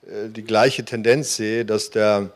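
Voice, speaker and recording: A man's voice: on the low side, steady tone, slow. A man speaking as a panelist at a conference, earnest, with many hesitation fillers, on a handheld mic in a hall. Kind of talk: panel discussion.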